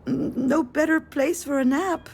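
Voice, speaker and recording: An actress playing a weary, self-mocking failed screenwriter in a video game, narrating in a wry, confessional and conversational way. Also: female voice, high voice, steady tone, unhurried. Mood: groggy, wry. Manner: wry, conversational, dry humour.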